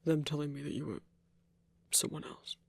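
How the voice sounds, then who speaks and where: A performer playing a gentle, awkward male werewolf hunter in an intimate audio roleplay, speaking haltingly and apologetically with earnest remorse. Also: medium pitch, level tone, creaky, husky, no room sound. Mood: reassuring, hesitant. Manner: hesitant, halting, apologetic, stammering.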